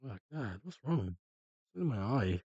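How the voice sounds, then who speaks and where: A man from South Africa hosting a live stream, chatting casually with viewers in a relaxed, drawn-out way. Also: mid-range voice, slightly rough, dry recording, lo-fi sound. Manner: drawn-out, relaxed, greeting chat. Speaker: streamer and host.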